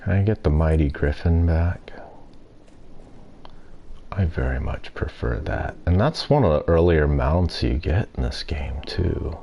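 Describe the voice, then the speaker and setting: A young man streaming a game and chatting casually, with a stuffy nose and throat and a rambling, relaxed way of talking. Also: rumbling low, a little slow, creaky, dull audio, heavy background noise. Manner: relaxed, rambling, self-deprecating. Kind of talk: casual gameplay commentary. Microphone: desk mic.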